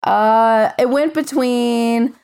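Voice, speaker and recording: Woman, podcast co-host, raspy from illness, casual chat. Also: fairly high pitch, drawn-out words, darkish tone. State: sick and raspy.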